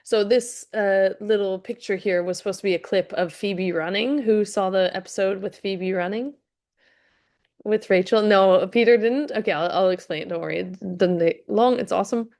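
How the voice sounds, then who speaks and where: Hoarse woman with a cold, chatty. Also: medium pitch, moderate pace, a little mellow. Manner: chatty, with frequent restarts. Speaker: fitness coach hosting a live session. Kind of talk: casual live-streamed talk to a chat.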